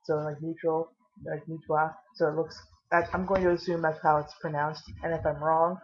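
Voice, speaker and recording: A tired, caffeinated woman vlogging casually with a low voice, rambling and swearing now and then. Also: little pitch movement, normal speed, muffled recording.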